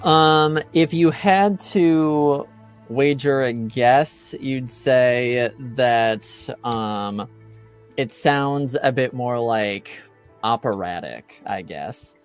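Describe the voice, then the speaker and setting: A man improvising as a game master in casual narration, with a hesitant, halting delivery. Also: mid-range voice, clean tone, soft background music.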